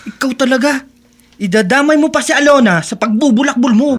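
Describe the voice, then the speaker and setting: A man's voice: extremely high pitch, brisk. An actor playing a responsible teenage schoolboy who nags his friend, in a Filipino radio drama with a Filipino accent. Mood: nagging.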